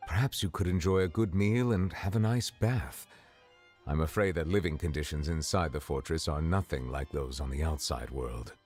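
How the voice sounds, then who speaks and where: Very deep, formal male official. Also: over music. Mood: composed, courteous. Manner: measured, formal, precise diction. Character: grave, formal male official of high rank, reserved and courteous. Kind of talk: video game voice acting.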